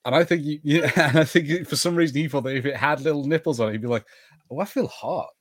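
A man co-hosting a casual film podcast, explaining in halting bursts and acting out mock quotes. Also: fairly high pitch, no echo. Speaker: podcast co-host. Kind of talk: casual film watch-party banter.

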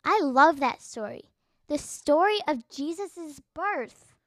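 Female, high voice, children's Bible podcast host, story narration, dry sound. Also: expressive, slow pace, no room sound.

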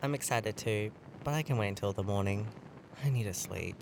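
A woman's voice: rumbling low, fairly quick, vocal fry, background noise. A woman playing a blunt, sardonic and confident traveling companion in a fantasy audio drama. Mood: relaxed, teasing. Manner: blunt, needling. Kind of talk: naturalistic audio drama acting.

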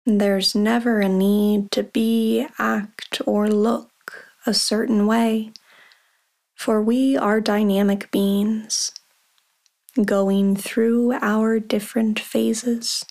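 A woman leading a guided meditation, speaking softly and calmly with long pauses. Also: medium pitch, vocal fry. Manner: slow, soft and calm, with long pauses.

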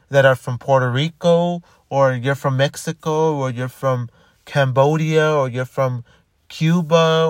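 A man's voice: mid-range voice, clean tone, no room sound, clean studio sound. A man talking casually in a rambling monologue, restarting and repeating his words often. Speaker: commentator.

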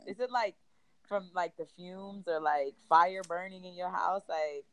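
A woman co-hosting a podcast with a raspy, nasal voice from a cold, chatting and wondering aloud. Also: fairly low pitch, unhurried, background noise. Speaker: podcast co-host. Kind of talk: casual chat.

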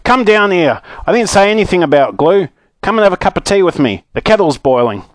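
A man voicing a hearty, teasing fellow in a children's story, loud and exclaiming. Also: high voice, sing-song, a little fast, dry recording, pitched above the performer's own voice. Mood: amazed, hearty.

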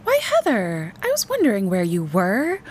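A woman's voice: on the high side, sing-song, normal speed, a little hiss or hum, pitched above the performer's own voice. A woman voicing an enthusiastic, coaxing mother in a storybook, gushing and persuasive. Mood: bright coaxing. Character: enthusiastic mother, brightly persuasive, dreamy, easily exasperated. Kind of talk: storybook character voice.

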